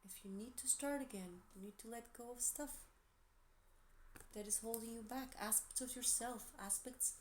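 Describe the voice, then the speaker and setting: Woman, Portuguese accent, gentle encouraging tarot reading, soft asides, faint hiss behind. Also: a little high, normal speed. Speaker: tarot reader.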